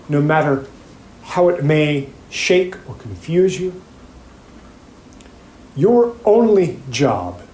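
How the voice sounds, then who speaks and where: A man playing an earnest, grave plaintiffs' attorney in an audio drama, speaking formally and with deliberate pauses. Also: on the high side, darkish tone. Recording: roomy echo, faint background noise.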